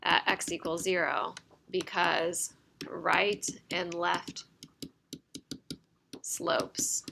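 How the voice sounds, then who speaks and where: A woman's voice: lowish voice, flat delivery, drawn-out words, gravelly, noisy background. A woman math teacher lecturing a class step by step, often checking in with the students, her voice a little worn. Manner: explains step by step, checking in with "right?". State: slightly losing her voice.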